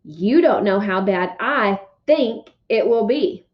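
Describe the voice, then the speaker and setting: A woman's voice: mid-range voice, animated, slow pace, dull audio. A woman voicing an exasperated, sarcastic sixth-grade boy who grumbles emphatically in a children's storybook read-aloud. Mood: grumbling.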